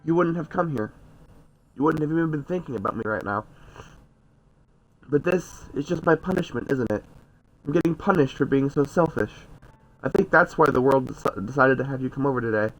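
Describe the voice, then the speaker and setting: A man with a stuffy nose voicing a cheerful young girl who hides deep sadness, in a shaky falsetto and blaming herself. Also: fairly quick, long pauses, lightly acted, poor audio. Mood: despairing. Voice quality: nasal. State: stuffy nose, mild cold.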